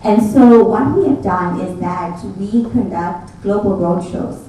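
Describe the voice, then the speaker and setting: A woman's voice: mid-range voice, slow pace, warm tone. A young woman with a Zimbabwean accent giving a business presentation as a conference speaker. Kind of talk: presentation pitch to an audience. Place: indoor event room with tables. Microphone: handheld mic, picked up by far camera. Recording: distant, echoey room with faint noise.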